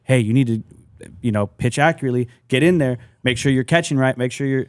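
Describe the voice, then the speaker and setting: A man's voice: mid-range voice, quick pace, clean tone. A man talks as a podcast host. His delivery is informal and slangy, reacting conversationally. The recording is dry, with very little echo. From San Diego area, United States. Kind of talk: casual conversational interviewing.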